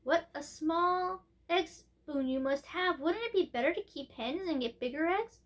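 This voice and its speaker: A woman's voice: high voice, lively intonation, a little slow, voice pushed up. A woman voicing a curious, chatty little princess girl in a storybook, asking things in an eager, childlike way. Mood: eager, delighted.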